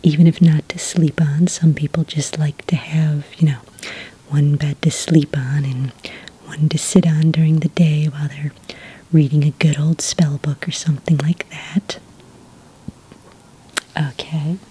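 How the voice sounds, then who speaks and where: A woman playing a friendly, obliging witch desk clerk in a soft-spoken ASMR roleplay, whispering breathily in polite, hesitant customer-service patter. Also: low-pitched, flat delivery, measured pace, husky, heavily acted, rough recording. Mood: calmly helpful.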